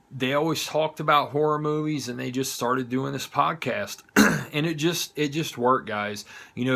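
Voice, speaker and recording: Male online show host, Southern US accent, mid-range pitch, unhurried, informal heartfelt delivery. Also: fairly bright tone. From United States, not from the South. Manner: informal, blunt, occasional swearing. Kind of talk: casual heartfelt monologue to viewers.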